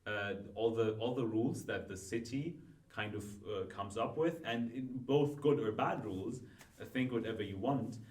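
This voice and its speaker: Man, low voice, casual podcast chat, hesitant restarts, echoey room, far from mic. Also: normal speed, darkish tone. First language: not Dutch. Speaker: student guest. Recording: roomy, from far off.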